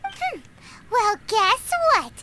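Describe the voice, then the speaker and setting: A woman voicing a tiny, childlike, bossy fairy companion in a video game, squeaky and excitable. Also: very slow, very noisy, full character voice. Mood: indignant. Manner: bossy, speaks of herself in third person. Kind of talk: cartoonish video game voice acting. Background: loud game music.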